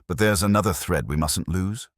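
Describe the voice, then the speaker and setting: Man acting a courteous inspector, very low voice, mild, understated, quietly incisive, detective story. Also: moderate pace, dry recording, studio quality. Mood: mild, pensive.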